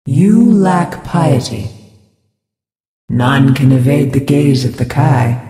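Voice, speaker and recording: A woman playing a serene, devout female spirit healer in a video game, speaking in a measured, ceremonious, pious way. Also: extremely low pitch, lively intonation, warm tone, recorded from a distance, exaggerated voice. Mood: solemn, judging. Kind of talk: video game character voice lines. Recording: reverberant hall effect, music behind.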